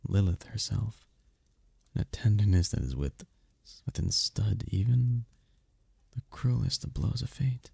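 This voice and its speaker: A man voicing a seductive, possessive demon prince in an ASMR roleplay, with a husky, gravelly voice and a regal, flirtatious manner. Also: deep voice, mellow, no room sound, heavily acted. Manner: regal, slow-savouring, flirtatious.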